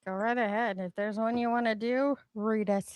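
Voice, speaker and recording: A woman co-hosting casually, chatting and reading online comments aloud in an animated, exaggerated way. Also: a little high, normal speed, smooth, dry recording. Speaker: co-host.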